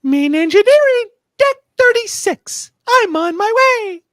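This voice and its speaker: A woman's voice: very high-pitched, animated, a little slow, a bit bright, no room sound, clean studio sound, exaggerated voice. A woman playing an impatient, combative starship officer in an amateur sci-fi radio play.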